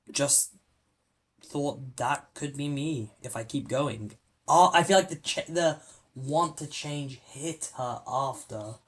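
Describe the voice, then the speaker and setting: A man hosting a film podcast, talking casually in a rambling, halting way with many restarts. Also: medium pitch, a bit bright. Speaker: podcast host. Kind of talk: casual film review chat.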